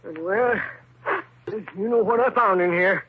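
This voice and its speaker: A man's voice: sits high, unhurried, husky, heavily acted. An actor playing a cranky, blustering older male neighbor in a 1950s radio drama. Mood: excited. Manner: blustering, indignant. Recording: dull old radio broadcast.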